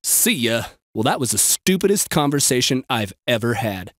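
A man's voice: mid-range voice, normal speed, no echo, clean studio sound. An actor playing a snarky, crude, jumpy young male bystander in a video game, with a sarcastic, exaggerated delivery. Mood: dismissive. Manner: sarcastic, exaggerated, swinging from flat to frantic.

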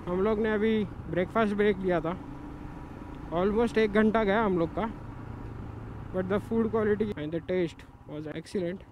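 A man from India vlogging his motorcycle trip in casual talk that slips into English phrases, recorded outdoors by the road. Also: high-pitched. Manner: mixes English time checks into casual Hindi. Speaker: motovlogger. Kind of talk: casual travel vlog narration. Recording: noisy roadside recording.

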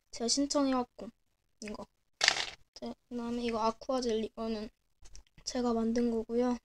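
A young woman with a cold, hoarse and clearing her throat often, chatting casually as she shows her handmade miniature crafts at a desk. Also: on the high side, darkish tone, a little hiss or hum. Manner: chatty, frequent throat clearing and self-corrections. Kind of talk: casual show-and-tell narration. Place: small indoor room at a desk. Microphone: camera over a desk, speaker off screen.

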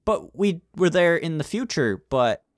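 Animated young man leading gameplay. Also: fairly high pitch, unhurried, dry recording, studio quality. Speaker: gaming video host, leading a group roleplay. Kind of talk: playful gameplay roleplay chat.

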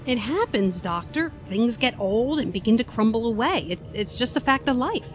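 Actress as high-voiced, wry companion. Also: female voice, expressive, moderate pace, soft background music, natural voice. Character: wry, practical female travelling companion. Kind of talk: audio drama acting.

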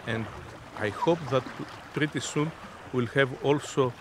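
A man in his fifties answering interview questions in a halting, deliberate, unscripted way. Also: medium pitch. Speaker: interviewee, innovation zone representative. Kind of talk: spoken interview, unscripted explanation. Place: outdoors on a sea beach. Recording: outdoor seaside background noise.

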